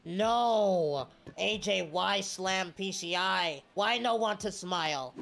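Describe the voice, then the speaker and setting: A young man on a headset talks his way through a baseball game, bursting into shouts at every play. His voice is a little stuffed up, and game music plays clearly underneath. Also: high voice, takes it slowly. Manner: excitable, yelling at the game, slangy "bro". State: congested, slight cough. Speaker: streamer. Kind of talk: casual gameplay commentary. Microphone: headset mic.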